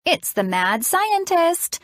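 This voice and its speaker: A woman voicing an excitable, bossy little girl with theatrical, squealing delivery in an audiobook. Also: very high voice, slow, dry recording, studio quality, voice pushed up, full character voice. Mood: playful.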